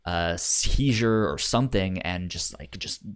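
A man casually discussing a movie as a podcast host, with halting speech and stuttered, repeated sounds and words. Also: sits low, clean tone.

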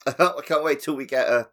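A man's voice: mid-range voice, unhurried, a bit bright. A man co-hosting a gaming podcast, bantering casually in a wry, joking manner. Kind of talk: casual banter about a video game.

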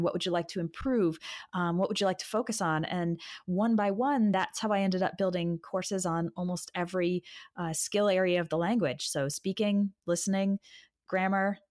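A woman's voice: medium pitch, darkish tone. A woman who works as an online English teacher, talking conversationally in an interview.

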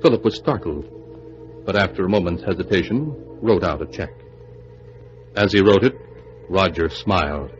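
A man's voice: sits low, normal speed, long pauses. A man reading scripted narration for a 1940s radio mystery drama. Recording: dull vintage radio recording with music.

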